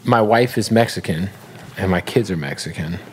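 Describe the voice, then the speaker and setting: A man's voice: sits low, animated, moderate pace, a little mellow, slight background noise. A man in his thirties hosting a podcast, teasing his guest in playful slang. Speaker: podcast host. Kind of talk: casual interview chat.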